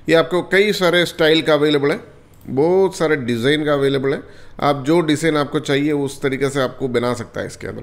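A man talks viewers through a software setup in a relaxed, repetitive tutorial manner. His voice sits a little higher than usual. He keeps checking in with the audience as he clicks through each step. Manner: repetitive, addresses viewers as friends, many fillers. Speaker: software demonstrator. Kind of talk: screen-recorded software tutorial.

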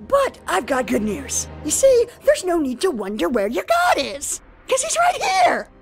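A woman's voice: extremely high pitch, huge pitch swings, a little slow, creaky, over music, exaggerated voice. A performer, heard as a woman, playing a cocky, sarcastic teenage swordsman boy in an anime parody dub. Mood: smug, menacing. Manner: sarcastic, smug, taunting.

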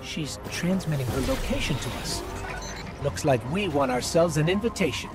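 A man's voice: fairly high pitch, animated, normal speed, loud music behind the voice, natural voice. A man voicing a friendly, earnest, slightly anxious robot companion in a video game. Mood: alert. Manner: conversational, earnest.

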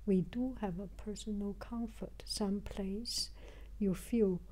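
Older woman, breathy whispery voice, mellow tone, halting delivery, repeated phrases, reflective travel vlogging. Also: mid-range voice, fairly even intonation, poor audio. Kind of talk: reflective vlog narration.

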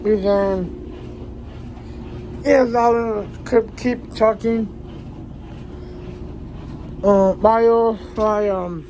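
A man in his early twenties chatting casually to a vlog audience in a halting, rambling way, often repeating words and short phrases. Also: high voice, darkish tone, slight background noise, poor audio. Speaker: vlogger. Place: small laundry room beside a kitchen at home, indoors. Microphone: phone at arm's length.

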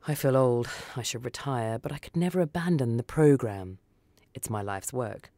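Low-key woman narrator with a mellow voice. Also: expressive, normal speed, no room sound. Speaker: story reader. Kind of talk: scripted first-person short story narration for radio.

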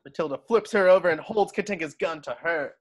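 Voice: medium pitch, a little fast. A narrator reading screenplay stage directions for a table read over a video call.

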